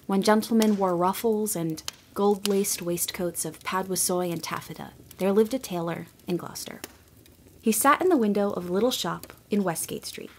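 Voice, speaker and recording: Woman narrating a storybook tale. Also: medium pitch. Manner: measured, gentle storytelling.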